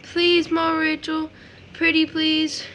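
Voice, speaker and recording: Sweet, wondering little boy acted by a woman for audio drama; very high, bright voice, faint noise behind. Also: completely flat delivery, takes it slowly. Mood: sweet, pleading. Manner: childlike grammar and wondering questions. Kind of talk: stage drama audio acting.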